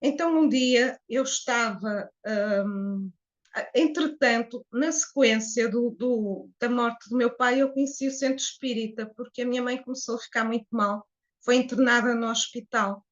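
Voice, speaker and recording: Portuguese woman, late fifties, high voice, reflective guest, muffled sound. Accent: Portuguese (European Portuguese). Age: 50s. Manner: reflective, unhurried, with hesitations. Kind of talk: personal testimony in an interview.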